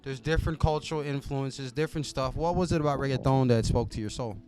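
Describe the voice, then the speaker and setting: A man hosts a casual studio interview, talking in an upbeat, slangy hype-man way. His pitch sits mid-range, and soft music plays behind him. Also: fast. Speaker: host.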